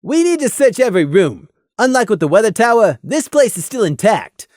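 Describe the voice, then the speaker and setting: A woman's voice: a little high, sing-song, a little fast, darkish tone, no room sound, clean studio sound, voice pushed up. A woman voicing a burdened male pony sergeant with a brusque, commanding, clipped way of talking in an audio story.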